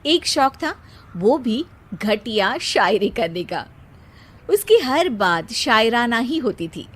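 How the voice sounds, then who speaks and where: Casting: female narrator for comic cartoon stories, fairly high, expressive, measured pace. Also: breathy edge. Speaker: story narrator.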